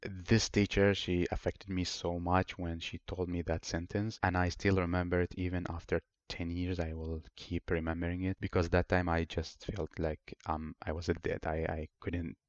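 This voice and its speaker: A young man with an Iraqi Arabic accent hosts a solo podcast, telling his life story in a very low voice. He speaks earnestly and thoughtfully and often pauses to find his words. The recording is close and dry, with a slightly dull, muffled sound. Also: flat delivery, dry recording. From Iraq. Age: 20s. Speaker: podcast host.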